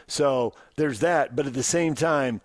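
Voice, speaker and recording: A man's voice: medium pitch, a little slow, dry recording. An American man hosting sports talk radio in an emphatic, conversational manner, close to a studio microphone.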